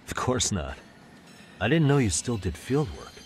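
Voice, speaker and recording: A man's voice: medium pitch, very animated, moderate pace, a little hiss or hum, lightly acted. A man playing a composed private investigator in an English-dubbed crime game, matter-of-fact and probing. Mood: casual.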